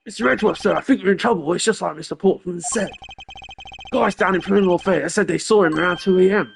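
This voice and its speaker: Man playing an eager, high-pitched, flustered police detective. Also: fast, gravelly, a little hiss or hum. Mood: worried. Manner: deferential, earnest, flustered. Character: eager, anxious, deferential male police detective. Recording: voice over game music.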